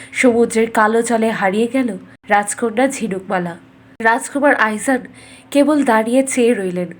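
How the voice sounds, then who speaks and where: A woman storyteller narrating a scripted fairy tale in a measured, dramatic way. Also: on the high side.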